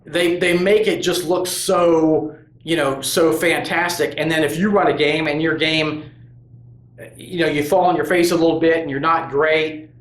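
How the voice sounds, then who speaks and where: Man, channel host, casual monologue, slightly high voice, lots of restarts, echoey room. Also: fairly even intonation, moderate pace, a bit bright. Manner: rambling, earnest, with many restarts and "you know". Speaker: host of a tabletop gaming crafts channel. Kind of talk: casual opinion monologue to camera.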